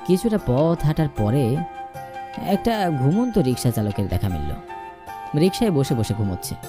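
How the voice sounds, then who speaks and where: Man, dramatic story narrator, lively pitch movement, emotive delivery, clear background music. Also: moderate pace. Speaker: first-person story narrator.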